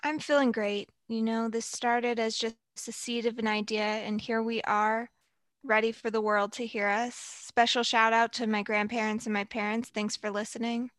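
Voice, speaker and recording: A woman's voice: fairly high pitch, level tone, moderate pace, no room sound. A young woman co-hosting a podcast in a cheerful, friendly way. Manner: cheerful, warm. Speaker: podcast co-host.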